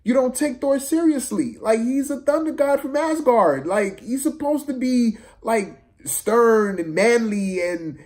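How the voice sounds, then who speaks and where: A man giving a casual, unscripted film review rant, exasperated and slangy, repeating himself for emphasis in a halting way. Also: very high-pitched, expressive, darkish tone.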